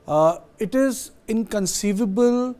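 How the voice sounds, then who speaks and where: Halting, emphatic Indian-accented male political analyst. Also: sits high, warm tone. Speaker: journalist panelist.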